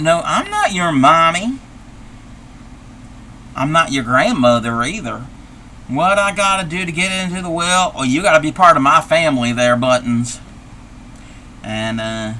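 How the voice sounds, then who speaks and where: A man putting on a forgetful old grandma who talks slowly and drifts off mid-sentence, circling back to the same half-finished question. Her voice sits a little high, with a bright, sharp edge. She chats with viewers as she crafts, never quite finding the thread. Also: a little hiss or hum, heavily acted. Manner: rambling, loses her train of thought, repeats herself; reads viewer chat aloud and greets viewers. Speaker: streamer. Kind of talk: livestream crafting chat in character.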